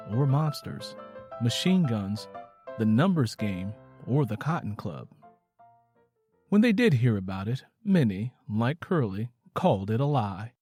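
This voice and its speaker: A man's voice: medium pitch, mellow. A man narrating a scripted story in a dramatic style. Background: music.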